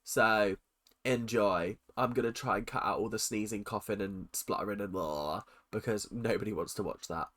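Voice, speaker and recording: Man, host of a reaction vlog, nasal and stuffed up with a cold, crisp bright tone, excitable chatter. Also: fairly low pitch, normal speed. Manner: excitable, chatty, swings between loud exclamations and muttered asides. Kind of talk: casual reaction commentary.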